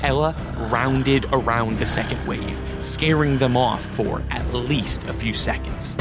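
A man's voice: medium pitch, a little slow, loud music behind the voice, close to an everyday voice. A man narrating a gothic adventure radio drama in a solemn, literary, dramatic manner.

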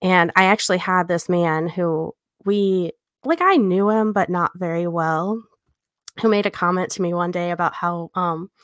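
A woman's voice: on the low side, moderate pace, fairly bright tone, dry recording, studio quality. A woman co-hosting a podcast, speaking informally and reflectively as she discusses a book. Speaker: podcast co-host.

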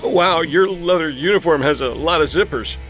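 A man's voice: on the high side, moderate pace, over music, natural voice. A man playing a hapless, nervous young prisoner in a comedic audio drama, rambling and apologetic. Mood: nervous, pleading.

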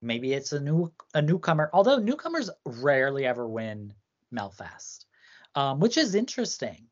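Woman, low voice, loose rambling co-host banter, muffled lo-fi sound. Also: very animated, moderate pace. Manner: chatty, rambling, heavy use of "like". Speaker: podcast co-host. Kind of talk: casual chat about song contests.

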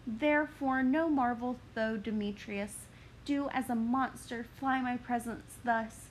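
Pleading lovesick young woman, read by a woman, high urgent voice, slow verse. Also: a little hiss or hum, close to an everyday voice, low-quality recording. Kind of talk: dramatic reading of verse.

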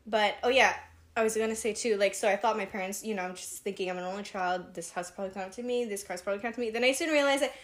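A woman's voice: mid-range voice, fast talker. A woman in her twenties co-hosting a podcast, talking casually with many fillers and restarts. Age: 20s. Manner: chatty, lots of fillers and restarts. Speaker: podcast co-host. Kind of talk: casual chat.

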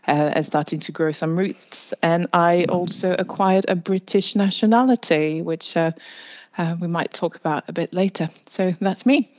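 A woman's voice: lowish voice, no echo. Hesitant woman, dry muffled sound. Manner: hesitant, many fillers. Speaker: panel guest. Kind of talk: casual conversation.

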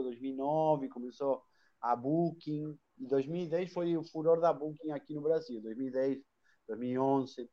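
A man's voice: fairly high pitch, dark tone, lo-fi sound. A man from Argentina with an Argentine accent, a Spanish speaker talking as a guest in a live online interview, talkative with many restarts and tag questions. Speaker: guest, hostel chain owner.

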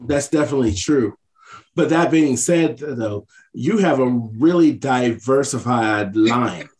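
Very slow-paced male interviewer. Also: mid-range voice, a little mellow. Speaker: interviewer, co-host. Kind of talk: casual interview chat.

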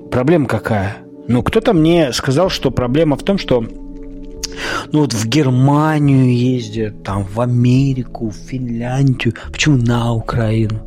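Guy doing a solo podcast rant, mid pitched voice, opinionated n colloquial, kinda halting, bit of music behind. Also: a little mellow. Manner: argumentative, poses rhetorical questions. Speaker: podcast host. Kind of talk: informal opinionated solo monologue.

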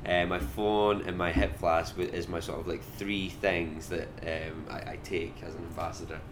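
A man's voice: low voice, moderate pace. A man with a Scottish accent, chatting casually and animatedly as a whisky brand ambassador in an interview. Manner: chatty and animated, many "like" fillers. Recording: noisy background.

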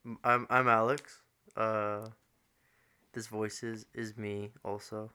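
A man in his twenties co-hosting a casual podcast, easygoing and quick to laugh. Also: fairly low pitch, little pitch movement, sharp tone, smooth. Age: 20s. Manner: easygoing, giggly. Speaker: podcast co-host. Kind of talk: casual chat.

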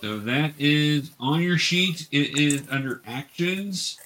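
A man's voice: fairly high pitch, expressive, slow, sharp tone, slight background noise. Patient man guiding a tabletop game. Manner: patient step-by-step instructing. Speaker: game master. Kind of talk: running a tabletop game for young beginners.

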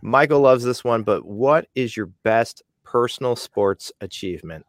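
A man hosting a podcast with a smooth voice, running a casual interview in a friendly, energetic way. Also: mid-range voice, unhurried, no room sound, clean studio sound. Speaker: podcast host.

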